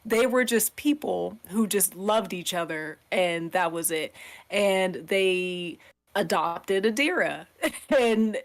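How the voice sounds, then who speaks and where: A woman's voice: medium pitch, expressive, takes it slowly. A woman on a podcast panel, talking casually and earnestly as she explains a point, acting out imagined quotes as she goes.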